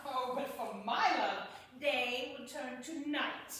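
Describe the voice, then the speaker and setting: A witty, passionate young nobleman of the Shakespearean stage, played by a woman argues for love in high, rhetorical verse. The delivery is unhurried, with dramatic pauses. It is heard from a distance in a strongly reverberant room. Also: sharp tone, full character voice. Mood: boastful, teasing. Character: witty, passionate young lord, eloquent lovesick male scholar. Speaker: amateur stage actor in a Shakespeare reading. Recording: distant, echoey hall with faint noise.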